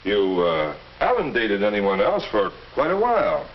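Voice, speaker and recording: Understanding middle-aged American dad, low pitch, lively melody, measured, dull old film audio. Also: male voice, exaggerated voice. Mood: reassuring. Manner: measured, reassuring. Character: calm, understanding middle-aged father advising his son. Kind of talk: 1950s educational film acting.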